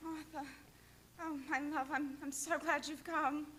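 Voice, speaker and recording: A woman playing a frail, frightened young gentlewoman in a radio drama, with faltering, tremulous delivery. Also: sits high, level tone, a little slow. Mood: weak, loving. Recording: faint background noise.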